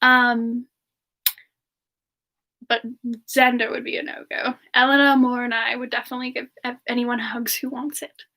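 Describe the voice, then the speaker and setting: A woman playing a sweet, affectionate young female frost demon, soft-spoken and hesitant, answering questions in a semi-ASMR live stream. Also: fairly high pitch, flat delivery, normal speed, long pauses. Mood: gentle, chatty.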